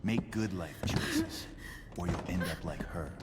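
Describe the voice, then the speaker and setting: A man's voice: low voice, huge pitch swings, measured pace, very noisy, heavily acted. A man playing a coldly taunting, threatening criminal thug in a game drama, drawing out his words. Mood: menacing. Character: menacing, foul-mouthed male criminal thug, coldly taunting.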